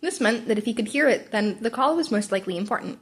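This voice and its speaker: Female amateur audiobook-style narrator, slightly high husky voice, restarts stumbled phrases. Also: expressive, fast. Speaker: podfic narrator. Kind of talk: amateur fan fiction reading.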